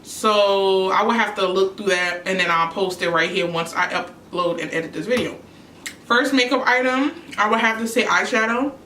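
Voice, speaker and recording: A woman's voice: medium pitch, steady tone, normal speed, bright, forceful tone, slight background noise. A young woman from New York chatting casually on her eating show, answering questions between bites. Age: young adult. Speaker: YouTuber. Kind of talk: casual mukbang chat. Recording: echoey room, mic at a distance.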